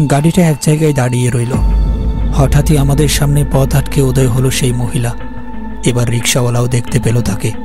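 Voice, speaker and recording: A man's voice: mid-range voice, natural voice. A man acting as a haunted, guilt-ridden young man, narrating his horror story in a brooding, fearful way. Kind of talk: scripted dramatic horror narration.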